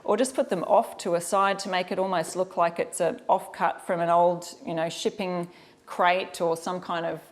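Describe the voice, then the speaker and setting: Chatty Australian woman hosting a craft livestream. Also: mid-range voice. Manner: chatty, informal, talks directly to viewers. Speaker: host of a live craft demonstration. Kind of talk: casual livestream tutorial chat.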